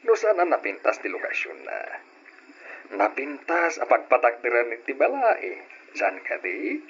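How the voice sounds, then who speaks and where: A man playing a smooth-talking, pushy family man in an old Ilocano radio drama, on a dull recording. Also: mid-range voice, huge pitch swings, unhurried, a bit bright, slight background noise, heavily acted. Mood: coaxing.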